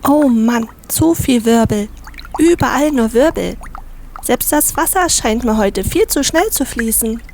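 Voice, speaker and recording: A woman voicing an overwhelmed, restless water fairy in a fairy-tale audio story, soft and plaintive. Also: on the high side, lively intonation, a little slow, a little hiss or hum. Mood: weary, overwhelmed.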